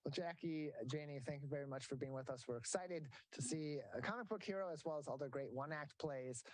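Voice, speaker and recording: Local TV host, man, slightly high voice, dry close sound. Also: a little fast, no room sound. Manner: reads event dates and ticket details, corrects himself. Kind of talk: local TV interview.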